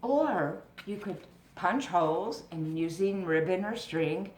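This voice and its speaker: A woman giving an informal craft demonstration with storytelling, explaining things step by step to parents in a measured way. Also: on the low side, a little mellow. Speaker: library craft presenter. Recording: roomy echo with noticeable background noise.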